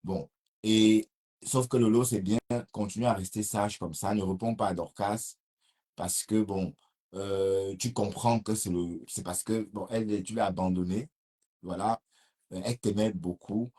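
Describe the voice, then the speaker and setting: Husky man with a Cameroonian accent. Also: lowish voice, steady tone, a little slow, warm tone. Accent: Cameroonian French. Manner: reads viewer comments and answers them, rambling with restarts. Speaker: live streamer. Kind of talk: casual live-stream talk to viewers.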